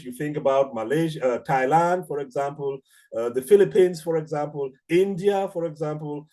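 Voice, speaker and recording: A middle-aged man with a Cameroonian accent giving an academic seminar lecture in a measured, deliberate, explanatory manner. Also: a little high, mellow. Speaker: academic researcher, seminar speaker.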